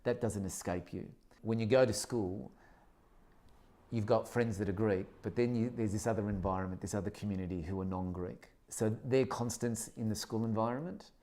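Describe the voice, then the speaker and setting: A man's voice: on the low side, mellow. A man in his fifties with an Australian accent, a secondary school teacher speaking thoughtfully in an interview, often restarting his sentences. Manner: thoughtful, measured, with frequent restarts. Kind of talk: reflective interview testimony.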